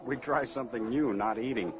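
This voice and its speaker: An actor playing an easygoing young parachute jumper in a radio drama, with a wry, wisecracking way of talking. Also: male voice, medium pitch, brisk, lightly acted. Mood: wry, breezy. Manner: breezy, wisecracking. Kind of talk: 1940s-50s radio drama acting. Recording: dull old radio recording, faint music.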